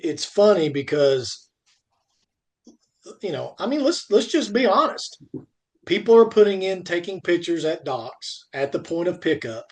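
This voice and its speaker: A man in a casual industry discussion as podcast co-host, talking in a rambling way and repeating points for emphasis. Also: a little high.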